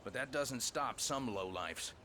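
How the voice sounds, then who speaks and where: A man's voice: medium pitch, animated, a little slow, very noisy, close to an everyday voice. A man playing a friendly, easygoing, folksy park ranger in a video game, politely spoken. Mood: concerned.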